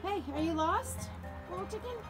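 A woman's voice: very high-pitched, moderate pace, music in the background. A middle-aged woman talking casually to her pets in short, coaxing phrases, recorded on a handheld phone. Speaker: backyard chicken keeper, vlogger. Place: mostly outdoors in a garden and around a coop.

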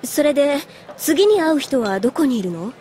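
A woman playing a serious, gently caring young soldier with a measured, plain-spoken manner in an anime audio drama. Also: sits high, big pitch swings, a little hiss or hum. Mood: composed.

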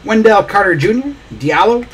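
A man's voice: sits high, big pitch swings, normal speed, fairly bright tone, a little hiss or hum. A man hosting a live trading card break, opening packs and rattling off player names as he goes. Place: small indoor studio desk.

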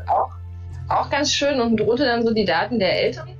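A woman's voice: fairly high pitch, expressive, normal speed, soft background music. Woman from Saxony on a dull call line. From Saxony, Germany. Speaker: political scientist co-host. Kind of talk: casual livestream chat. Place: home room.

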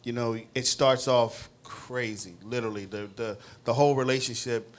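A man's voice: mid-range voice, steady tone, moderate pace. A middle-aged man, a playwright guest, talking animatedly on a panel in a restaurant, often repeating words, with a handheld mic. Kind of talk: casual panel interview. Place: restaurant with wood-paneled wall.

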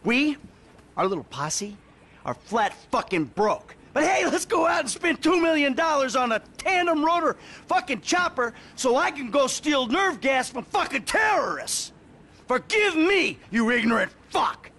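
Casting: bitter middle-aged retired crook, game cutscene, high-pitched and lively, sarcastic and profane. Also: male voice, a little slow, exaggerated voice. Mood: bitter, exasperated. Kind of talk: video game cutscene acting.

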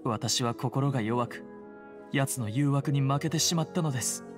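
A woman's voice: extremely low pitch, normal speed. A woman voicing an earnest, timid phantom hunter in a Japanese game, hesitant, polite and formally apologetic. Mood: solemn confession. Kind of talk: Japanese anime game voice acting. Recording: music behind the voice. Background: game music.

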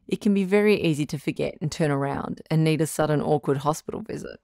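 A woman's voice: deep voice, fast talker, dark tone, no echo, clean studio sound. A woman playing a frank, reassuring doctor who hosts a radio call-in advice show, encouraging and matter-of-fact in a conversational style. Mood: reassuring, practical.

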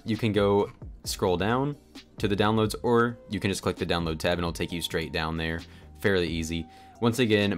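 Deep voiced guy doing a casual tutorial voiceover, talks fast, bit of music behind him. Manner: chatty, informal, explanatory. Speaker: tutorial host. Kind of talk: casual screen-recorded software tutorial narration. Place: voice-over on a screen recording. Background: background music.

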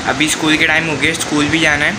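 A man's voice: on the high side, flat delivery, fast, noisy background. A teenage boy narrating his daily vlog into a phone held at arm's length, plainly describing each step of his day. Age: teens. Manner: plain step-by-step running commentary. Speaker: vlogger. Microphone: phone camera at arm's length.

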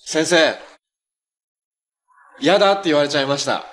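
An actor playing a cheerful, gentle young adventurer hero in a live-action TV drama, speaking in a friendly, earnest, casually polite way. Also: male voice, a little high, normal speed, close to an everyday voice. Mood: earnest, reassuring. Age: young adult. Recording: faint background noise on TV dialogue.

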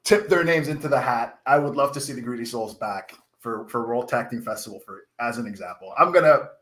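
A man in his thirties co-commentating on a wrestling stream over a video call, slightly under the weather and coughing, excitable and rambling, with a headset mic. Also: medium pitch, fairly quick. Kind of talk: casual live-stream commentary chat.

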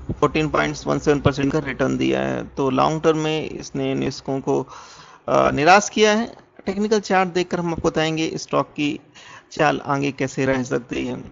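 An Indian man narrates an explanatory analysis in the manner of an online finance presenter. His voice sits a little high for a man, with a noticeable gravelly fry. The recording is dull and lo-fi. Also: normal speed. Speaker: presenter of a stock market education channel. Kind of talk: explanatory stock analysis narration over screen share. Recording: dull, muffled lo-fi voice-over.

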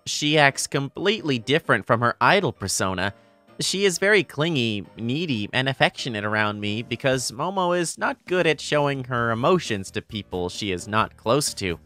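A man's voice: mid-range voice, very animated, normal speed, pushed and bright, faint music behind. A man playing an easygoing teenage boy narrating a romantic comedy manga story, explanatory and fondly exasperated. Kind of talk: scripted manga narration and dialogue.

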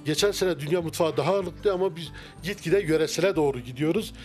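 A middle-aged man with a slightly high voice talks through a handheld mic in a wood-paneled restaurant. He answers questions haltingly, full of fillers and restarts. Soft music plays faintly underneath. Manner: hesitant, many fillers and restarts. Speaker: restaurant owner. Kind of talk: casual interview. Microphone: handheld mic held by interviewer.

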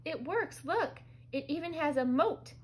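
A woman's voice: sits high, expressive, moderate pace, a bit bright, husky, voice pushed up, exaggerated voice. A woman voicing an eager, easily amazed young boy in a children's storybook, speaking in exclamations.

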